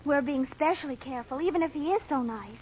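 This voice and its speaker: Woman playing high-pitched, earnest young girl. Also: fast, lightly acted. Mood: earnest, concerned. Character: earnest, trusting teenage niece, female. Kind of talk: old-time radio adventure acting. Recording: dull, noisy old radio recording.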